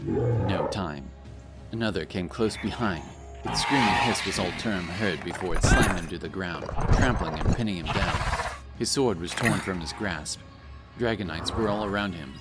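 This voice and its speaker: A man reading a scripted fantasy story as narrator, in a dramatic, solemn and measured style. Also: low voice. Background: music.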